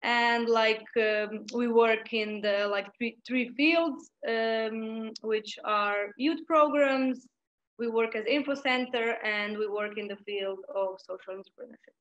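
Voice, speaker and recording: A woman's voice: fairly high pitch, takes it slowly, a bit bright, highs cut off. A woman from Croatia introducing her youth association in a casual way, with many fillers.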